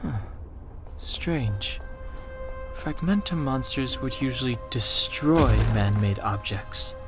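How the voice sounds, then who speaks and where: A man voicing a sensible, reserved young adventurer in a video game, speaking in a measured, serious, cautious way. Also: mid-range voice, expressive, music in the background. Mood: calm, analytical.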